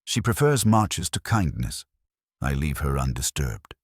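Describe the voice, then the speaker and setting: Radio play role: composed, prim older woman male performer, dry and exact, very low register, slightly husky. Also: big pitch swings, no echo, heavily acted. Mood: dry, guarded. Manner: precise, measured, formal. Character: composed, dryly witty, prim older woman, a music-loving typist.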